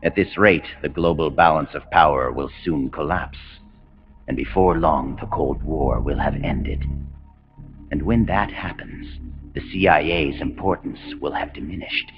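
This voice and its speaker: Cold deep grandiose super soldier villain, measured speech, muffled game audio w loud music behind. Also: male voice. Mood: calm, lecturing. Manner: measured, imperious, philosophical monologuing. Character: cold, commanding male rogue officer, grandiose engineered super-soldier. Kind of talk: dramatic video game voice acting.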